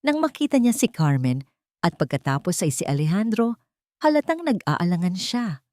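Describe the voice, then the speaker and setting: Low-voiced woman narrating a dramatic story. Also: very animated, moderate pace, darkish tone, no room sound, studio quality.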